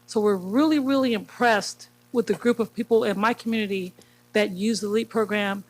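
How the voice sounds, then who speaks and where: A woman's voice: medium pitch, normal speed. Brief: woman, enthusiastic community speaker, heard from a distance from the mic. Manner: warm, enthusiastic praise.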